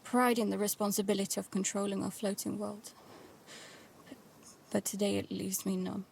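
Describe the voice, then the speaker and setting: A woman plays a protective, wary young girl narrating a scripted audio drama. Her delivery is hushed and intimate, often close to a whisper. The pitch stays fairly even with some creak, over faint background noise. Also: moderate pace, lightly acted. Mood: numb, reflective.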